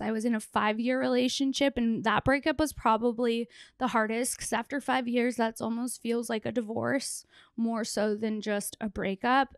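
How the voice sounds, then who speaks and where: Young female comedy podcaster, blunt and jokey, exhausted hoarse voice with heavy fry, close dry mic. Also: a little high, no echo. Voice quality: hoarse, gravelly. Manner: blunt, sweary, joking. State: hoarse, worn-out voice after a festival. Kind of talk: casual solo podcast riffing. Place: small plain room indoors. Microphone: handheld microphone held close.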